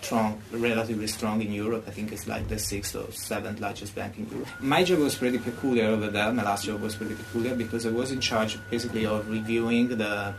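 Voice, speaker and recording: A man's voice: fairly low pitch, fairly even intonation, fast talker, gravelly, few pauses, background noise. A young man with an Italian accent explaining his professional background as a podcast guest. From Italy. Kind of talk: explanatory self-introduction.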